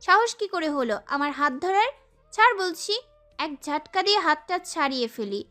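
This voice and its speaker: A young woman performs a sassy, girlish heroine, telling a romance audio story. Her voice is very high and bright, with lively swings in pitch and an expressive, feisty delivery. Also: normal speed, soft background music, heavily acted. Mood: angry, defiant. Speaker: narrator and lead actress. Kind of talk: dramatised first-person romance story narration.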